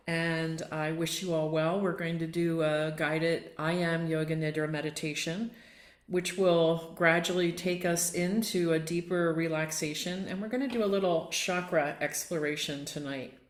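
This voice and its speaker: A woman leading a guided relaxation as a yoga nidra teacher, gently and without hurry, inviting listeners along. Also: deep voice, steady tone, measured pace. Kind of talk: guided meditation and relaxation instruction. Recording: roomy, echoing sound.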